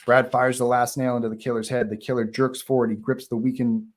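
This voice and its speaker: A man's voice: mid-range voice, level tone, brisk. A man narrating the stage directions of a horror script at a live online table read. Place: online group call.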